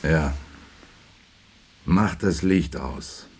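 An actor playing a taciturn, stubborn working man and husband in a radio drama, speaking in a curt, gruff, dismissive way. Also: rumbling low, drawn-out words, slight background noise, exaggerated voice. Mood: gruff, withdrawn.

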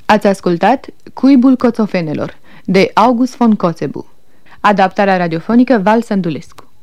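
A woman radio announcer reading scripted credits in a formal, measured manner. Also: mid-range voice, animated, darkish tone, a little hiss or hum.

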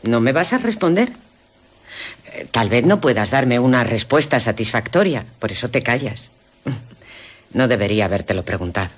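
An actress playing a gentle, curious young woman in a radio drama, telling and acting out her story earnestly. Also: a bass voice, expressive, normal speed, pauses often, slightly rough, heavily acted. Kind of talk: Spanish radio drama acting, narration and dialogue.

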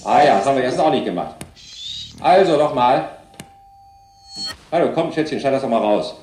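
A man playing an impatient studio director in a radio play, talking in a brisk, colloquial, bossy way. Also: medium pitch, expressive, lightly acted. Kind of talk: experimental radio play dialogue. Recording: roomy, music behind.

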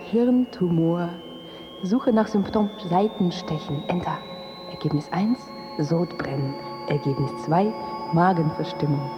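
A woman's voice: fairly low pitch, dull audio, lightly acted. An actress playing a woman who recites in a methodical, clipped way in an audio drama.

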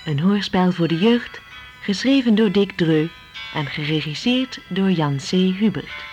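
A woman's voice: lowish voice, expressive, measured pace, music in the background. A woman announcing a radio play in a scripted style. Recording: dull old radio recording.

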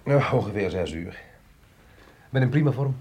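A man's voice: lowish voice, lively intonation, normal speed, darkish tone, husky. An actor playing a capable, reluctant but dutiful male agent in a radio drama, with a dry, wry manner. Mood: casual. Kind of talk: Dutch radio drama acting.

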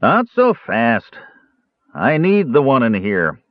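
A man's voice: mid-range voice, wildly swooping pitch, takes it slowly, no echo, lo-fi sound, voice pushed up. A man voicing a grim, sarcastic tough in an audiobook, curt, impatient and growling. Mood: impatient, ominous.

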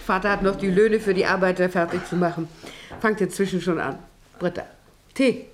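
An actress in a German radio drama playing an anxious, placating middle-aged farmer's wife, hesitant and soothing in manner. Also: female voice, fairly low pitch, fairly even intonation, a little fast, a little hiss or hum, close to an everyday voice. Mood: motherly.

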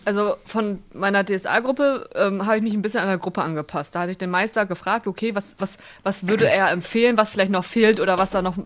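A woman's voice: medium pitch, fairly quick, few pauses. A young woman co-hosting a casual podcast chat, talking with many fillers and restarts. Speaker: podcast co-host.

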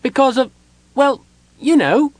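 A man voicing a small, excitable, guileless kender who blurts things out in a chatty, naive way. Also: extremely high pitch, slow, no room sound, voice pushed up, heavily acted. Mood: guileless blurting.

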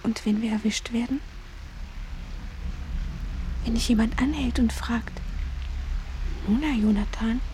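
A woman's voice: a little high, measured pace, long pauses, dark tone, a little hiss or hum, close to an everyday voice, low-quality recording. A woman acting a curious, playful teenage schoolgirl and younger sister in a 1970s German radio play. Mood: playful, dreamy.

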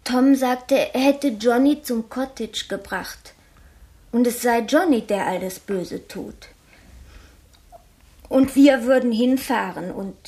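An actress in a German radio drama playing a traumatised, childlike, fearful woman who speaks haltingly and repeats herself. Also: high-pitched, a little mellow. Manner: halting, repetitive, childlike storytelling. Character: traumatised young woman living under her dead double's name, childlike, fearful.

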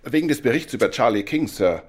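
A man's voice: mid-range voice, lively intonation, fast talker, background noise, natural voice. A man acting a dutiful police subordinate in a German radio crime drama, reporting formally and matter-of-factly. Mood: deferential.

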